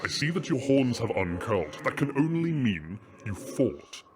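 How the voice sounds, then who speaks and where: Man acting a jovial ancient dragon king, lively swooping voice. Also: mid-range voice, moderate pace, noisy background, close to an everyday voice. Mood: fatherly, stately. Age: older. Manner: effusive, grandly theatrical. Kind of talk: audio roleplay drama.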